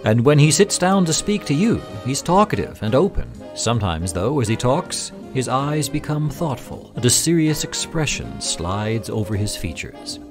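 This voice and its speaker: Lively male reader over prominent music. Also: mid-range voice, moderate pace, hardly any pauses. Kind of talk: scripted reading of a feature article.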